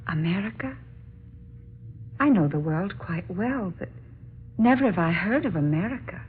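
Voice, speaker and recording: A woman's voice: mid-range voice, lively intonation, normal speed, husky. A woman playing a gracious, earnest young princess in a radio drama, speaking with formal, courtly diction. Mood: calm, intrigued. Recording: muffled, noisy old-time radio recording.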